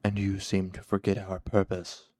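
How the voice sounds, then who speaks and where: A man voicing a hooded dark lord in a fantasy story, cool and controlled, speaking with slow, precise patience and quiet menace. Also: on the low side, gravelly, dry recording, studio quality. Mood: cool warning. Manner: slow, patient, precise, sharpening to hard command.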